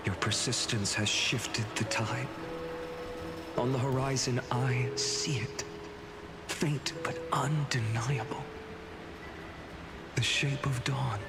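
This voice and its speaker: A solemn, gentle hero of a video game, speaks slowly and earnestly at a middling pitch. He leaves dramatic pauses, and loud music swells behind him. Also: fairly even intonation. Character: solemn, gentle male hero's other self, resolute.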